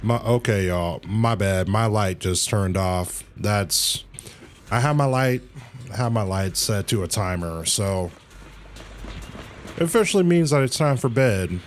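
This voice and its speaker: A man's voice: fairly low pitch, animated, unhurried, slight background noise. A man chatting casually as he hosts a video game livestream. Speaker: livestream host.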